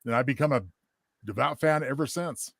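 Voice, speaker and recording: A man's voice: medium pitch, a little fast, vocal fry, no room sound, clean studio sound. A man co-hosting in casual livestream chat, agreeable and informal. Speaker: co-host.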